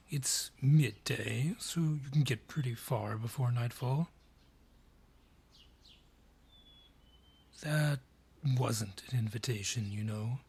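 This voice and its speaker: A man voicing an ancient, gruff dryad forest guardian in a fantasy audio drama, husky, brusque and ominous in delivery. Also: medium pitch, a little mellow, heavily acted. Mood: grim, dry. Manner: deliberate, brusque, ominous storyteller. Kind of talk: fantasy audio roleplay acting.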